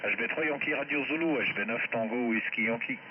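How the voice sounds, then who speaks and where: A man's voice: mid-range voice, fairly quick. A man chatting casually as an amateur radio operator, heard over a shortwave radio link. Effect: shortwave amateur radio transmission. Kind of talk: casual radio contact chat. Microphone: heard through a radio receiver. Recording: muffled, static-filled shortwave radio reception.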